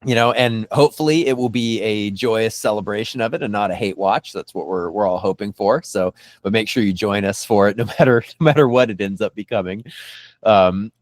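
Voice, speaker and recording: A man with a fairly low voice hosts a casual podcast, recorded close and dry. He is enthusiastic and halting, often circling back to repeat a phrase before he moves on. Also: no echo. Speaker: podcast host.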